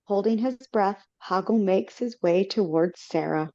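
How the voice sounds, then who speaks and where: A woman's voice: mid-range voice, measured pace, dark tone, no room sound. Woman narrator, casual reading, self-corrections, dry dull lo-fi video call audio. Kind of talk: reading stage directions aloud.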